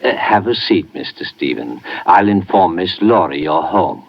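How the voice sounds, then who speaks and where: An actor playing a formal, deferential and slightly hesitant butler in a 1950s radio drama. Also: male voice, deep voice, animated, measured pace, creaky, full character voice. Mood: courteous. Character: deferential, fussy male family butler, medium-sized, Dickensian. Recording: muffled 1950s radio recording.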